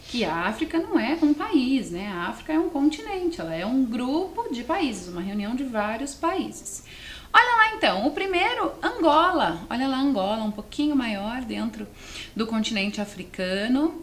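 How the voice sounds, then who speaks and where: A woman's voice: on the high side, fairly bright tone. A woman with a southern Brazilian accent teaching a class to students, explaining step by step and often asking questions. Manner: explanatory, often asks questions and adds checking tags. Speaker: language teacher presenting a televised class. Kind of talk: didactic lesson addressed to students. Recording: roomy echo, faint background noise.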